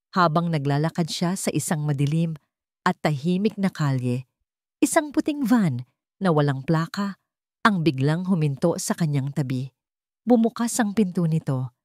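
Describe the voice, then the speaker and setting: A woman's voice: lowish voice, dark tone, dry recording, clean studio sound. A woman with a Filipino accent giving a scripted, dramatic narration of a story.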